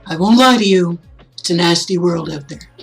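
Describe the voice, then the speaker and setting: A woman's voice: fairly low pitch, moderate pace, slightly rough, faint music behind. A woman voicing an affable older male mayor giving a folksy, jokey, rousing speech in a visual novel reading.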